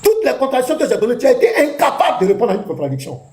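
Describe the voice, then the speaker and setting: A man's voice: extremely high pitch, huge pitch swings, very fast, a little mellow. A man, a pastor in a heated live debate, taunting his opponent with combative, repeated jabs. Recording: echoey room.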